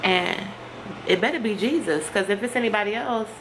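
A woman's voice: mid-range voice, animated, a little fast. A woman in her thirties chats casually and playfully in a home kitchen, vlogging into a nearby camera with colloquial phrasing. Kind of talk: casual vlog chat. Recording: clearly noisy home video audio.

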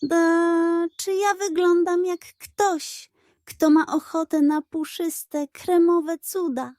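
A woman's voice: extremely high pitch, fairly even intonation, slow pace, darkish tone, no echo, clean studio sound, voice pushed up. A woman voicing a lazy, haughty male cat in a children's storybook, bored, sarcastic and dismissive. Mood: snobbish.

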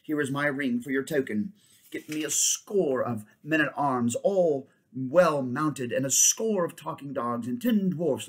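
A man's voice: mid-range voice, close to an everyday voice. A man voicing a fierce young king who gives orders in a raised, commanding voice.

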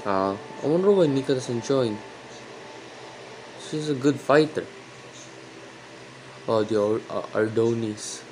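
Hushed young man reacting. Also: lively intonation, slight background noise, poor audio. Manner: quiet, halting, low-voiced to avoid waking someone. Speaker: reaction video host. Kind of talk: hushed casual commentary. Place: small room indoors. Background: series audio playing.

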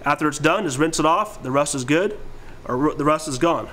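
A man in his thirties with a slightly high voice walks through products in an informal, plain-spoken way. He sounds like a casual presenter demonstrating gear, recorded indoors with clear noise behind him. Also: animated, fairly quick, fairly bright tone. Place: indoor warehouse aisle lined with stock bins.

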